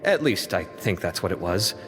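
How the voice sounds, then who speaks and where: A talkative, expressive young man whose mid-pitched voice rises and falls with his flustered, fussy energy. Long reverb hangs on his lines, and clear game music runs behind him. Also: moderate pace. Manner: lively, chatty and a little flustered. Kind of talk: video game voice acting.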